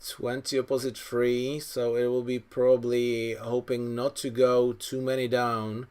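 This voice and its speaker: A man around forty giving live bridge commentary as a coach, thinking aloud in an analytical, unhurried way. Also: medium pitch, flat delivery, darkish tone, clean tone. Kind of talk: live card game commentary.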